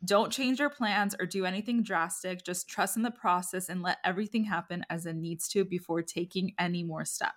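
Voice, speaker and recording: A woman's voice: fairly low pitch, fairly even intonation, fairly quick, hardly any pauses, a bit bright. A woman co-hosting a podcast, chatting informally and reading tarot cards in an encouraging, slangy way. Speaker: podcast co-host. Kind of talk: casual chat with tarot card readings.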